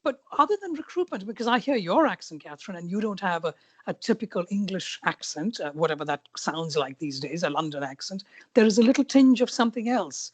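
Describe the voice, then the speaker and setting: A woman's voice: mid-range voice, expressive, brisk, slightly rough, dry recording. A woman hosting an interview podcast, asking reflective, empathetic questions in a conversational way.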